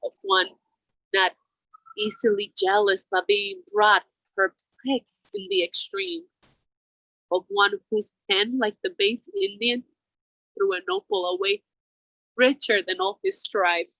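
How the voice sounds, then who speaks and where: A woman's voice: medium pitch, sing-song, takes it slowly, long pauses, a bit bright, no room sound, exaggerated voice, poor audio. A woman voicing a remorseful dying general. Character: man, a remorseful general confessing before death. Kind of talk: bilingual Shakespeare monologue. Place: outdoors.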